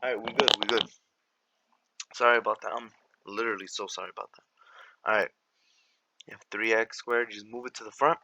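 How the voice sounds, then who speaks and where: Young man, raspy gravelly voice, bright tone, low pitch, slangy math tutor. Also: fairly even intonation, a little fast, pauses often, slight background noise, poor audio. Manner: slangy, laid-back, apologetic. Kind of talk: casual tutoring walkthrough.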